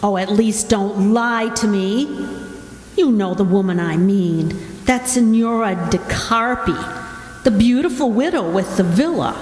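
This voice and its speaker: An actress playing an anxious, suspicious young sweetheart in a radio play, plaintively questioning, in an echoey hall. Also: female voice, medium pitch, a little slow, a little mellow, soft background music, natural voice. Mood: jealous. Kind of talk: amateur radio play acting. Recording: echoey hall with long reverb.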